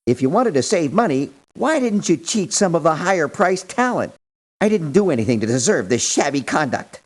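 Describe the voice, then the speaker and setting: Prim, high-voiced, principled mercenary. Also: male voice, very animated, moderate pace. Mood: formal reproach. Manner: formal, wordy, precise, reproachful. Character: prim, principled, formal male mercenary, politely disapproving. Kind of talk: video game character voice lines.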